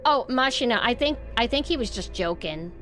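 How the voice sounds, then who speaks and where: A woman's voice: on the high side, lively intonation, normal speed, a bit bright, faint music behind. Nasal young woman ranting on stream. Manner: rambling, sarcastic, swears freely. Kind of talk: casual stream chat.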